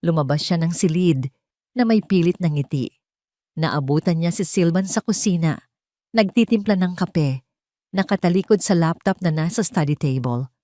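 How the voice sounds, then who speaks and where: A woman reading a dramatic scripted story in a deliberate, suspenseful way. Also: fairly low pitch, mellow, no room sound, studio quality. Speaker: audio story narrator.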